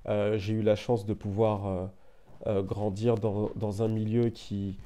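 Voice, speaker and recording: A young man from France chatting casually as an interview guest, relaxed and meandering, full of fillers and "you know.". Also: fairly low pitch, unhurried. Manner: relaxed, meandering, frequent "euh" and "tu vois". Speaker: interview guest, media founder.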